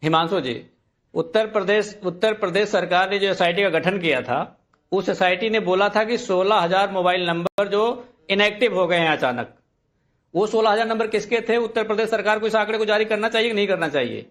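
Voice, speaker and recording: A man's voice: sits high, a little fast, a bit bright. A man speaking as a party spokesman in a heated television debate, insistently repeating himself and talking over others.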